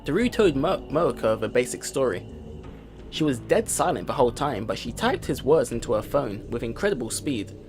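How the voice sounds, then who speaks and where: A man doing a let's play, reading visual novel narration aloud in an expressive storytelling style. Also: medium pitch, moderate pace. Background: game music.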